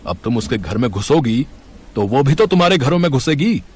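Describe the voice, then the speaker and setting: A man's voice: medium pitch, big pitch swings, brisk, a little hiss or hum, close to an everyday voice. A man playing a worried, scolding older father in a cartoon.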